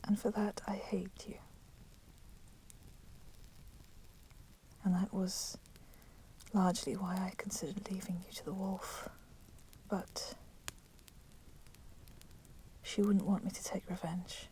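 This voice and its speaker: A woman playing a coolly menacing, possessive female vampire in a whispered ASMR roleplay, with soft, breathy delivery and formal, deliberate phrasing. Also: medium pitch, lightly acted. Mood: bitter, accusing. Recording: steady background noise, crackling fire sound. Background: crackling fire.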